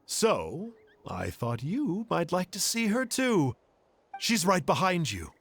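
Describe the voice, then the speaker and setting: Game role: hammy, self-pitying storyteller uncle, high and very lively. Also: male voice, takes it slowly, husky, full character voice. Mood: theatrical. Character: boastful, theatrical adult male storyteller uncle. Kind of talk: video game voice acting.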